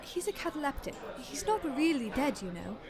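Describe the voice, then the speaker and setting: A woman's voice: high voice, very animated, fairly quick, a crowd in the background. A woman playing a practical, protective young woman in a radio drama, reasoning and pleading with her partner. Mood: polite, uneasy.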